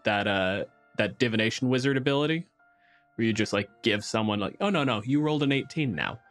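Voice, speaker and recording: A man's voice: mid-range voice, a little fast, fairly bright tone, faint music behind. A man hosting a casual podcast discussion in an informal, wry way. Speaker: podcast host.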